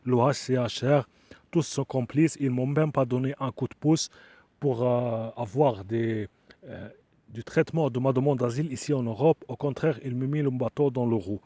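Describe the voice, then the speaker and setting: Halting, dark-voiced man pleading. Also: mid-range voice, no echo. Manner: hesitant, halting, many fillers and restarts; earnest and insistent. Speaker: asylum seeker making an appeal video. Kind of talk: direct-to-camera appeal monologue.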